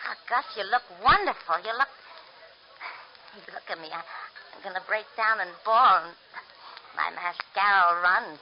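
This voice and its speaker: Actress playing a brassy flirty bar hostess. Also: female voice, medium pitch, normal speed, long pauses, noisy background, lightly acted. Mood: gushing. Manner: flirty, gushing, tough-talking. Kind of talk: 1940s radio acting. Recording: dull lo-fi old radio broadcast.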